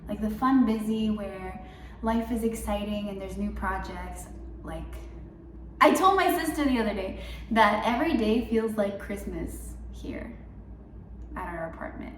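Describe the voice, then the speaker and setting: A young woman from Mexico talks in a breezy, chatty way, scattering "um" and "like" as she shares her week. Her voice sits at an ordinary middle pitch. It reaches the mic from a little way off in a roomy space with a faint hum of ambience. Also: a little slow. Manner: chatty, upbeat, many fillers. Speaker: faith vlogger. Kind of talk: casual devotional vlog. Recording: roomy, a bit far from mic.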